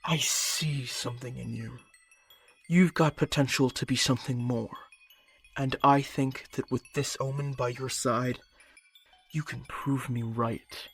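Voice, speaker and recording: A man playing a calm, persuasive magical creature specialist in an audio roleplay, speaking directly to the listener in a measured, coaxing and instructive way, with music behind him. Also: medium pitch, vocal fry, breathy edge. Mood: coaxing, encouraging. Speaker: voice actor.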